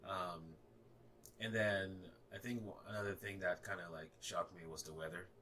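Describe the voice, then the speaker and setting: A man's voice: low voice, fairly even intonation, moderate pace, sharp tone, slight background noise. A young man in his twenties from Ghana, a native Ga speaker with a slight Ghanaian accent, talking hesitantly with many fillers and restarts in a casual podcast conversation. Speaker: guest.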